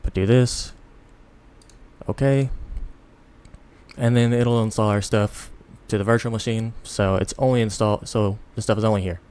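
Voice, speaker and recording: Low-voiced, sleepy man giving a tutorial. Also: fairly even intonation, moderate pace, long pauses, darkish tone, smooth. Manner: sleepy, rambling, self-deprecating. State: tired, sleepy. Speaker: tutorial host. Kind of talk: unscripted software tutorial, casual.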